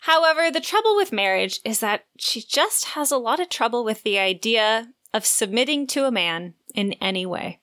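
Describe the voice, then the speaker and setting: A woman's voice: a little high, animated, normal speed, hardly any pauses, a bit bright. A woman co-hosting a book podcast, moving between chatty discussion and reading from prepared notes. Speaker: podcast co-host. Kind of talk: book discussion, part read synopsis.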